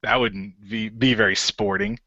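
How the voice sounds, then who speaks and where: A man's voice: lowish voice, fairly even intonation, measured pace, pushed and bright, dry recording, lo-fi sound. A man voicing a cocky, playful shapeshifting genie hero in an audio roleplay, taunting and joking as he goes. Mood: bantering. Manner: taunting, jokey.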